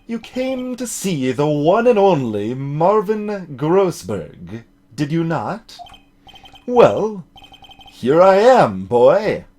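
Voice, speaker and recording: A man voicing a pompous, blustery older male lawyer from a video game, clearing his throat and leaning grandly on his words. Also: on the high side, sing-song, very slow, a little mellow, full character voice. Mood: pompous, demanding.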